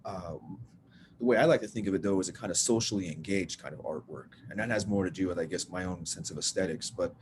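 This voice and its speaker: A man's voice: sits low, fast, slight background noise. A man leading a workshop over a video call, talking in a loose, rambling, self-deprecating way and saying "y'all". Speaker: workshop leader. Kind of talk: casual teaching talk. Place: at home, typing on a bed.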